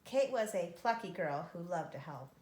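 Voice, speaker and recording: A woman reading a children's story aloud with expressive narration. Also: on the low side, normal speed. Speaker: storybook reader. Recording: echoey room.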